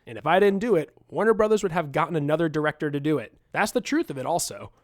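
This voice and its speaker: A man voicing an animated film director who recalls events with emphatic, dramatic pauses, as in an interview. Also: a little high, close to an everyday voice. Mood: earnest, resigned. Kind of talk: acted reading of interview quotes.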